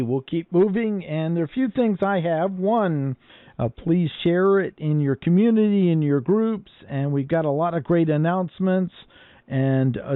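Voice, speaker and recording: A man's voice: on the high side, clear, resonant tone, no echo. An American man hosting an amateur radio net in a conversational, appreciative and polite way. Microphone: internet-linked ham radio transmission. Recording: narrow phone-like radio link audio.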